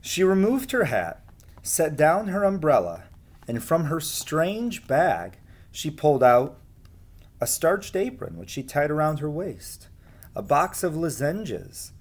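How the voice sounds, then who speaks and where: A man reading a storybook aloud to young listeners, expressive, with casual asides spoken straight to them. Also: a little high, slow.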